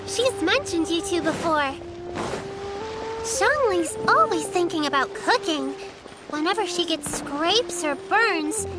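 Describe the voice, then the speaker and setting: An actress playing a sweet, polite and caring little girl who speaks eagerly. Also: very high-pitched. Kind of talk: game cutscene voice acting. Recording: game audio with loud background music.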